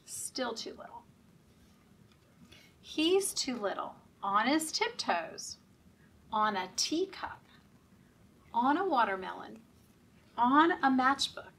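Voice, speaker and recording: Slow, bright-voiced woman reading to small children. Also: on the high side. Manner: animated, interactive, asking children questions and prompting actions. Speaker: children's storytime reader at a library. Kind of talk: expressive picture-book read-aloud to young children. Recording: voice at a distance from mic.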